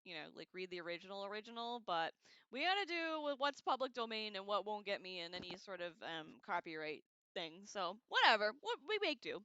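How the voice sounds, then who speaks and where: A woman hosting a livestream, casually reading aloud and breaking off into irreverent, sarcastic, slangy commentary in an expressive way. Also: medium pitch, fairly quick, smooth, dry recording, muffled recording. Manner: expressive, animated asides. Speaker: livestream host.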